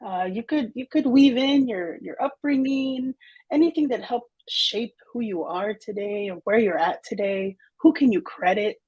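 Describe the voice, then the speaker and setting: Brief: woman interview host, husky tone, energetic and casual, quick to laugh. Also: on the high side, highs cut off. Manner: upbeat, slangy and animated, laughs easily.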